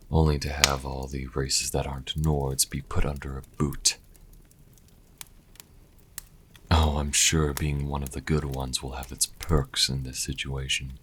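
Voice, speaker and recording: A man's voice: a bass voice, a bit bright, a little hiss or hum. A man playing a sassy, scholarly vampire in an audio roleplay, speaking in a wry, leisurely and articulate way. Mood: wry, musing. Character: sassy, scholarly male vampire, dryly hospitable.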